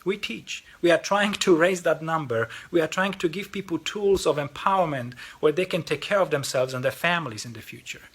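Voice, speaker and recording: A man's voice: on the high side, a little fast, hardly any pauses, a bit bright, slightly rough. A man with a Polish accent, speaking for a lay missionary group in an earnest appeal to parishioners, often repeating words as he restarts. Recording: video message.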